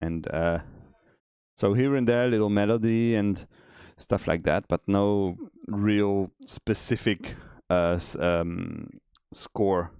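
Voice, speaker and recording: Deep-voiced man with a cold. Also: slow, a little mellow, clear, resonant tone, no room sound, clean studio sound. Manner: rambling, many restarts, easygoing. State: has a cold, voice extra deep. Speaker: game developer streamer. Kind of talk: casual chat on a dev stream.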